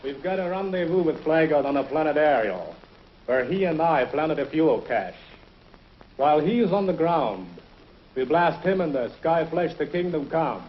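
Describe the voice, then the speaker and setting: A man's voice: on the high side, animated, normal speed, slight background noise, natural voice. An actor playing a cocky, scoffing male schemer in a 1950s TV drama, on a muffled old soundtrack.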